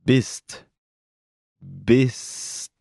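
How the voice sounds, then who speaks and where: A man reading words for a language lesson, saying each one twice, slowly and deliberately. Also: mid-range voice, gravelly, mellow, slightly rough, no room sound, studio quality. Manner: says each word twice, slow and deliberate. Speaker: German pronunciation speaker. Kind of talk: slow pronunciation drills.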